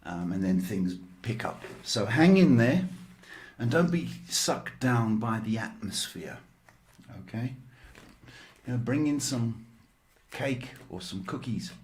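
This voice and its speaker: A man hosting a psychic tarot livestream, talking slowly and playfully, tired, giving readings with pet names. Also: mid-range voice, animated, a little mellow.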